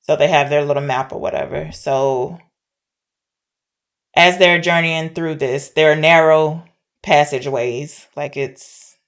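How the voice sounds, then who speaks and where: A woman's voice: low voice, steady tone, a little slow. A woman co-hosting a podcast, retelling a story informally and checking her wording with her partner. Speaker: podcast co-host discussing films. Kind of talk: casual conversational plot recap.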